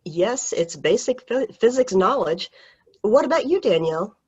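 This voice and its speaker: A woman voicing a strict high school teacher, stern and commanding, over dull video call audio. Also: expressive, normal speed, darkish tone, husky. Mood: firm.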